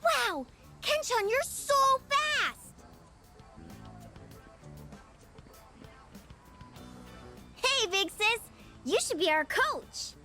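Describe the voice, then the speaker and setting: A woman's voice: very high voice, very animated, takes it slowly, over music, exaggerated voice. A woman playing an eager, admiring little schoolchild in an anime game dub. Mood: excited, pleading.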